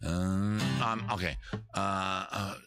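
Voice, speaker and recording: A man with a very deep voice chats loosely and mocks himself as he fumbles through chords. He talks slowly and unhurriedly, with a guitar ringing loudly under his words. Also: fairly even intonation. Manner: rambling, self-mocking, swears casually. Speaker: host, singer and guitarist. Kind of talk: casual livestream chat between songs. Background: guitar playing.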